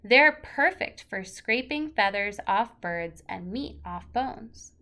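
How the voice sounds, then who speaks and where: A woman's voice: medium pitch, animated, slow, pushed and bright. A woman teacher reading a children's nonfiction picture book aloud, stressing key words expressively and adding casual asides.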